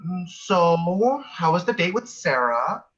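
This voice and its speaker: Man acting jealous flamboyant sales rep, knowing, sharp bright tone, dull video-call audio, sitcom. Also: high-pitched, big pitch swings, slow, heavily acted. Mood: arch, knowing. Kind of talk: sitcom table read.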